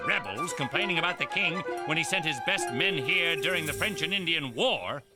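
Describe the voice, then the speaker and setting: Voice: medium pitch, animated, normal speed, lightly acted. A performer voicing a grumpy, dismissive colonial loyalist merchant in a historical cartoon, scornful and blustering. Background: music.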